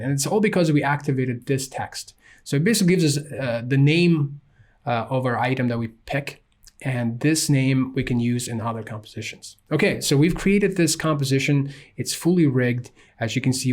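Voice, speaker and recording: Casual man walking through a software tutorial. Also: medium pitch. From Ukraine. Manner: casual, walks through steps with frequent fillers. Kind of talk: screen-recorded software tutorial. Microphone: desk microphone.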